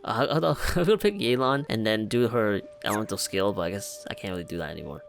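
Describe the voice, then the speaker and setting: A man's voice: lowish voice, animated, fast talker, gravelly. A young man giving casual reaction commentary as he plays a game. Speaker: gaming let's play host. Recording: faint game music behind.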